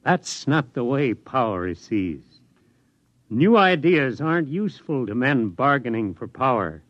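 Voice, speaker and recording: A man's voice: medium pitch, big pitch swings, a little slow, no room sound. An actor in a 1950s radio drama playing a weary older male scientist who speaks reflectively and ruefully. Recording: dull old radio recording.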